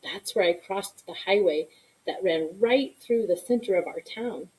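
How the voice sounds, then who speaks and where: A woman reading a children's story aloud in an expressive style, with deliberate, dramatic emphasis. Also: mid-range voice, poor audio. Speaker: storybook reader.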